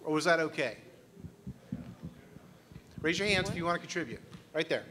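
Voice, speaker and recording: Bright-voiced man leading a workshop. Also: a little high, lively intonation, normal speed, slight background noise. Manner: questioning, inviting the room to respond. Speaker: workshop facilitator. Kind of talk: leading a group discussion. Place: conference room.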